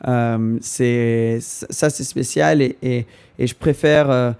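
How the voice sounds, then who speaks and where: Young man, native English speaker, mellow. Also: medium pitch, steady tone, slow pace, vocal fry, clean tone. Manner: thoughtful, hesitant, searching for French words. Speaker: podcast guest. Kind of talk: casual podcast conversation.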